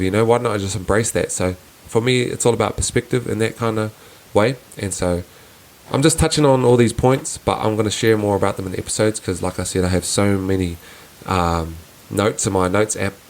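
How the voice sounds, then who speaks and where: A young man with a soft, fairly low voice talks through his thoughts on his own podcast. He sounds earnest and reflective, often restarting sentences and pausing on fillers as he works out what he means. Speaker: podcast host. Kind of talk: casual reflective solo podcast.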